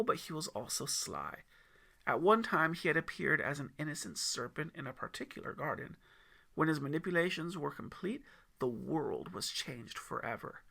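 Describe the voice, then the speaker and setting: A man's voice: a little high, vocal fry, sharp tone. A man narrating an audiobook as a dramatic, suspenseful storyteller, reading scripted prose expressively.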